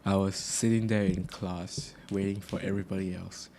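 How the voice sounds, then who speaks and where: Young guy from thailand, kinda low voice, relaxed, lots of ums. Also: moderate pace, slight background noise. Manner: relaxed, hesitant, many fillers. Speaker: guest musician. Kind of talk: casual podcast chat.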